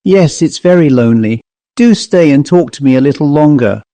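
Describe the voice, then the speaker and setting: A man's voice: a little high, animated, normal speed, mellow, no echo. A lonely old ghost woman, played by a male reader, quavering and whistling as she wheedles for company. She is doleful and sly, a wistful figure from a storybook, recorded close and dry. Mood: wistful, cunning.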